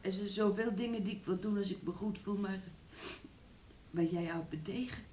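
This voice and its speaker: An actress in a Dutch radio play playing a sick, submissive woman who speaks hesitantly and haltingly, longing for freedom. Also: mid-range voice, warm tone, close to an everyday voice. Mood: subdued, reproachful. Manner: hesitant, halting, sometimes dropping to a whisper.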